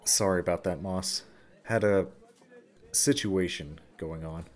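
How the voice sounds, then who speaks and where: An actor playing a concerned, steady young rancher in an audio drama, measured and low-key. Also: male voice. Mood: apologetic.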